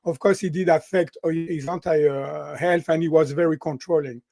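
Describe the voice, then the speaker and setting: A man in his sixties from France with a French accent, a guest in an interview, talking in an animated way and often ending sentences with "right?". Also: on the high side, moderate pace, gravelly, no room sound, studio quality. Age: 60s. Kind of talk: interview conversation.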